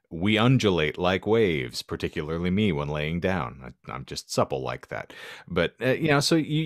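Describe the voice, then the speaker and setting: A man's voice: sits low, no room sound, clean studio sound. A man co-hosting a podcast, talking casually in a wry, wordy way with an ornate vocabulary. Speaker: podcast co-host.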